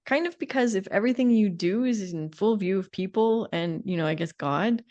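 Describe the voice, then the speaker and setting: A woman's voice: mid-range voice, fairly quick, a little mellow, muffled recording. A woman co-hosting a podcast in casual discussion, musing wryly and often breaking off to rephrase her thoughts. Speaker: podcast co-host.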